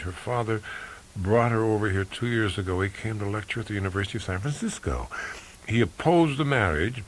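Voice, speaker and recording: A man voicing a staunch, efficient, reserved career woman in an audiobook story, precise and measured. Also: on the low side, fairly bright tone, slight background noise, poor audio. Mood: reporting, hesitant.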